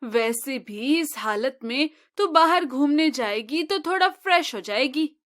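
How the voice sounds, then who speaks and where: A woman playing a cruel, scheming mother-in-law in a moral-tale cartoon, sly and gloating with drawn-out phrasing. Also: high voice, expressive, a bit bright. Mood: falsely sweet.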